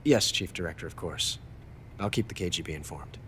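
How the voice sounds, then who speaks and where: A calm scheming double agent. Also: male voice, low-pitched, slight background noise. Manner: calm, measured, conspiratorial. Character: scheming male double agent reporting by phone. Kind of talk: video game cutscene dialogue. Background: game music score.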